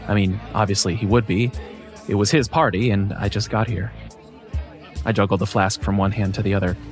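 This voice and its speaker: A man narrating a first-person scripted story in a measured, wry way. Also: lowish voice, steady tone, soft background music.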